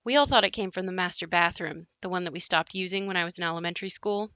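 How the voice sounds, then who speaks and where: Bright-voiced actress as an uneasy haunted daughter. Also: female voice, fairly low pitch, quick pace, dry recording. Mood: uneasy, reflective. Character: uneasy daughter recalling her haunted childhood home. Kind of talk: audio play monologue and dialogue.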